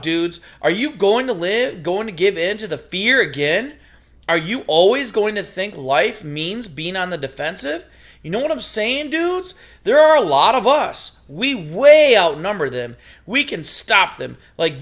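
A man's voice: very high-pitched, bright, forceful tone, voice pushed up, exaggerated voice. A man voicing a young male mouse, a laid-back rock-and-roll hero who talks in easy slang and calls everyone dudes.

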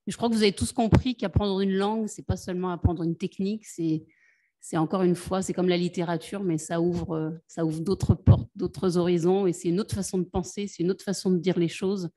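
A woman's voice: fairly low pitch, steady tone, a little fast, dark tone. A middle-aged woman moderating a panel over a video call from a room with bookshelves, speaking in an encouraging, conversational way. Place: home office with bookshelves.

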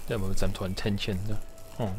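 A man with a fairly low voice hosts a casual let's play. He talks to himself as he thinks through his moves, and there is clear background noise from the game under his voice. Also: flat delivery, fast. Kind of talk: casual gaming commentary.

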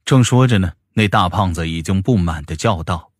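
Deep-voiced male audiobook narrator. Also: normal speed, dry recording. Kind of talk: scripted fantasy novel narration.

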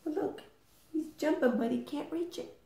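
A woman in her sixties hosting storytime, reading aloud to small children with deliberate, expressive, sing-song phrasing. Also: sits high, vocal fry. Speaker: storytime host. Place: living room, indoors. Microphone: camera a short distance away. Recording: roomy home recording.

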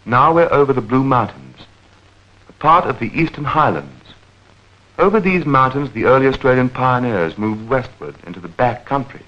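A man narrating a 1940s educational newsreel in a measured, clearly enunciated, explanatory manner. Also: medium pitch, expressive, a bit bright. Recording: dull vintage 1940s film soundtrack.

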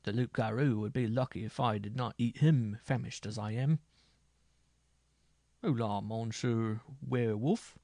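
A man's voice: medium pitch, moderate pace, vocal fry, hardly any pauses, dark tone, dry recording. A man voicing a boisterous, boastful medieval minstrel in a storybook tale, with old-fashioned oaths. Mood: mocking bravado. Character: boisterous bearded medieval minstrel, mocking braggart, later terrified.

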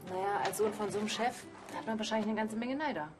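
Woman as a quick-talking kindly policewoman. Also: mid-range voice, music in the background, natural voice. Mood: sympathetic. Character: brisk, kindly but firm policewoman.

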